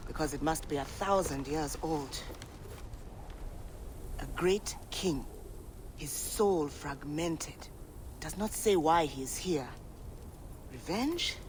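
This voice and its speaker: A man's voice: a little high, slight background noise. A man voicing a curious, bookish scholar in a game cutscene, with a musing delivery. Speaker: game character. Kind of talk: video game cutscene acting.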